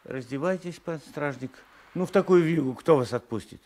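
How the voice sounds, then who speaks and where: An actor playing a querulous old peasant husband, hesitant and self-justifying, in a 1980s Soviet radio drama. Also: male voice, on the high side, moderate pace, darkish tone, natural voice. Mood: deferential. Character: querulous, battered old peasant husband, meek but stubborn.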